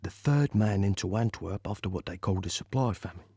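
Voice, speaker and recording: An actor playing a chatty working-class British army private in an audio play, telling his story eagerly and colloquially in a slightly husky voice. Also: fairly low pitch, expressive, a little fast, mellow, dry recording. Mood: excited, proud.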